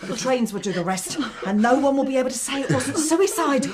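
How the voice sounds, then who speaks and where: High-voiced, commanding English mother. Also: lively intonation, crowd noise. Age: middle-aged. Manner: brisk, bossy, controlled. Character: cold, commanding, ruthless mother who takes charge. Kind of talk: radio drama acting.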